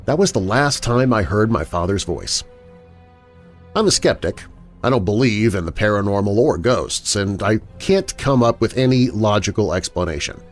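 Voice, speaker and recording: A man reading first-person scary stories as a narrator, in a dramatic, expressive storytelling manner. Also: fairly low pitch, moderate pace. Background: background music.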